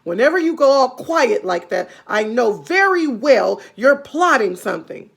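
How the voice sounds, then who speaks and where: A woman's voice: a little high, very animated, measured pace, vocal fry, husky, pitched above the performer's own voice, full character voice. A woman voicing a nasty, suspicious old wife from a storybook, threatening and given to screeching. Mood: menacing. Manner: threatening, screechy when frightened.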